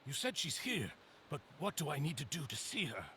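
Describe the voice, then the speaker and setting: A man playing a desperate, obsessed older villain in a fantasy game, pleading in a halting, anguished way for his lost love. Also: on the high side, sing-song, bright, forceful tone, a little hiss or hum. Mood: desperate longing. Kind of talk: dramatic video game voice acting.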